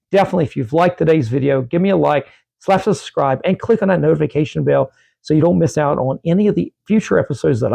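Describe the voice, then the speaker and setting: Middle-aged guy rambling about comics in his vlog, raspy losing-his-voice sound, kinda higher pitched, dry close mic. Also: dark tone, no room sound. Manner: rambling, self-correcting, friendly sign-offs. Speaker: comic book collecting YouTuber. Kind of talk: casual vlog talk to camera.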